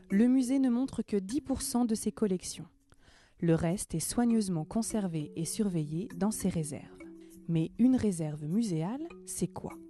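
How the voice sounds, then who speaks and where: A woman reading scripted documentary narration in a measured, explanatory way. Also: medium pitch, dark tone.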